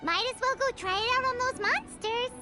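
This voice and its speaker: A woman voicing a tiny, nosy floating companion girl in a video game, curious and teasing with a high sing-song voice. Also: normal speed. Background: game music.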